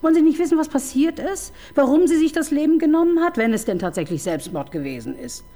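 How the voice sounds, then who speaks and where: A wry middle-aged detective. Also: female voice, high voice, animated, warm tone. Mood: teasing, probing. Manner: ironic, needling, quick to tease. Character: wry, probing married woman police detective. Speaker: detective chief inspector. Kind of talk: radio crime drama acting.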